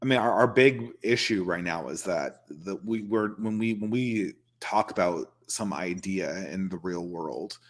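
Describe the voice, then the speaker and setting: A man's voice: low voice, measured pace, few pauses. A man hosting a casual live-stream chat from home, rambling with many restarts. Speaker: host.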